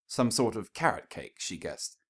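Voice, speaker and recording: A man's voice: fairly low pitch, big pitch swings, moderate pace, slightly rough. A man reading scripted fiction aloud as a narrator, in a storytelling style.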